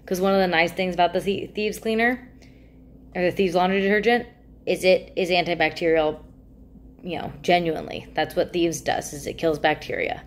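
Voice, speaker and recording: Low-voiced young woman, chatty product talk. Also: steady tone, moderate pace, slight background noise. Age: young adult. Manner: chatty, enthusiastic, gestures while talking. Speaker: product reviewer. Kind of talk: casual talking-head product chat. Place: small indoor room by a plain wall.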